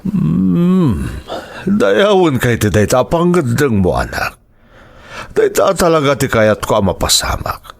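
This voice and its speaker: Man playing a gravelly, animated proud father. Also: on the high side, measured pace, full character voice. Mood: approving, supportive. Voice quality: gravelly, husky. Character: supportive middle-aged father, proud and affectionate. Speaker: voice actor in audio drama. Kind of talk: dramatized family radio-style drama.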